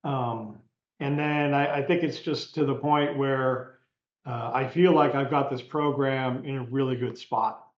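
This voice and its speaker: An older man, a retiring coach, answers an interview question haltingly, with lots of pauses and fillers. The audio is echoey and roomy, and its dull, muffled sound takes the edge off his voice. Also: medium pitch, fairly even intonation. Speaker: retiring college soccer coach.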